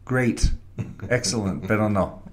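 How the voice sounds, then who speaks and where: A man's voice: fairly low pitch, slow. British man speaking careful learner's Spanish. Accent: British English. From Wales, Britain. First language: English. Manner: non-native Spanish, short declarative sentences, slips into English words. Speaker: guest, advertising creative and professor. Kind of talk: reflective interview conversation.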